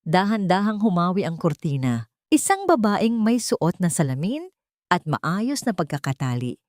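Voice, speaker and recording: A woman narrating a scripted dramatic story in a measured, literary manner. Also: fairly low pitch, sing-song, a little mellow, dry recording, studio quality.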